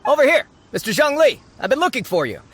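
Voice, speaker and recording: A man's voice: very high voice, sing-song, quick pace, fairly bright tone, slightly rough, exaggerated voice. A man playing a self-assured archaeological researcher in a video game, glib, businesslike and politely insistent. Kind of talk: scripted video game dialogue.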